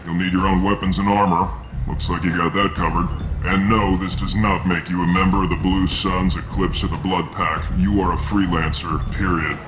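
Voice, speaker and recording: An actor playing a gruff, businesslike mercenary recruiter in a video game, blunt, unimpressed and matter-of-fact. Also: male voice, low voice, level tone, normal speed, in a large hall, close to an everyday voice. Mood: brisk, businesslike. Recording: loud music behind the voice. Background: loud music.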